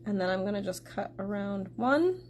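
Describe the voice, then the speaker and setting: A woman's voice: medium pitch, animated, normal speed, darkish tone, slight background noise. A woman hosting a casual card-making tutorial, chatting to her viewers while she cuts, a little congested from a sore throat. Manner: chatty, talks straight to viewers.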